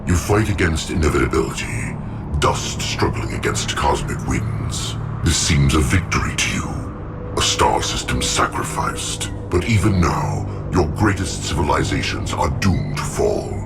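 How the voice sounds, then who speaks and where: An actor voicing an ancient, menacing alien machine overlord in a video game, imperious, ominous and coldly contemptuous. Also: male voice, extremely low pitch, lively intonation, measured pace, over loud music, heavily acted. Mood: cold contempt. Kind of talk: video game villain monologue.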